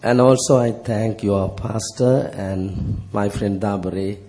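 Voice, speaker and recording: A middle-aged man preaches with a Sri Lankan accent and a husky, mellow voice. He speaks halting, broken English in short phrases, leaving space for an interpreter. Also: lowish voice, fairly even intonation. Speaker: visiting preacher.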